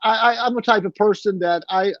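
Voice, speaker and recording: Middle-aged guy from north carolina on a video call, kinda high voice, lots of stops and restarts, muffled dull sound. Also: a bit bright, dry recording. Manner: halting, restarts often, many "you know"s. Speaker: guest, transplant recipient. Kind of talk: casual video call interview.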